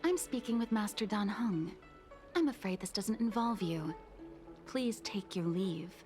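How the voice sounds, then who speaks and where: A woman's voice: mid-range voice, expressive, unhurried, a little mellow, close to an everyday voice. A woman playing a deferential, worried maid in a video game, speaking formal, polite and pleading dialogue. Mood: polite, grave concern. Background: music.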